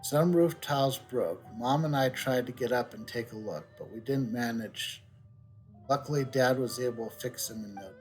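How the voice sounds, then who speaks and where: A man's voice: mid-range voice, moderate pace. A man who streams games, reading the on-screen text aloud. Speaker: game streamer. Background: soft game music.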